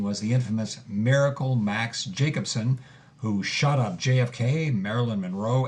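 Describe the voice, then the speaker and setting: A man's voice: mid-range voice, lightly acted. An actor playing an earnest, theatrical male host who presents and interviews in a scripted audio drama podcast. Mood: earnest, explanatory.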